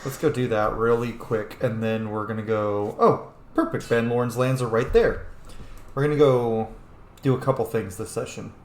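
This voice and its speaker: A man with an average-pitched voice commentates casually over a role-playing game. He talks bluntly and swears freely, in a roomy, echoing space with faint noise behind him. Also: fairly even intonation, moderate pace. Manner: blunt, heavily profane.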